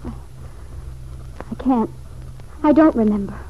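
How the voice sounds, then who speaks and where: A high-pitched, fragile young wife, acted by a woman in a classic radio soap. She sounds lovesick, hesitant and pleading. The old recording is muffled with faint noise. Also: measured pace, close to an everyday voice. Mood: anguished, evasive. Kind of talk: vintage radio soap opera acting.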